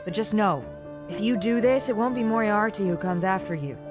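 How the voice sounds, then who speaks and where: A woman's voice: mid-range voice, fairly quick, close to an everyday voice. A woman playing a shrewd, world-weary woman in an audio drama, frank and cautioning but guardedly kind. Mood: wary concern. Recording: music under the voice.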